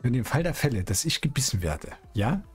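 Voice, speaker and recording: Male game streamer, husky and very animated, casual teasing delivery, music behind. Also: mid-range voice, fairly quick. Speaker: streamer playing a role-play game. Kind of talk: casual in-character gaming chat. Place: indoor streaming room.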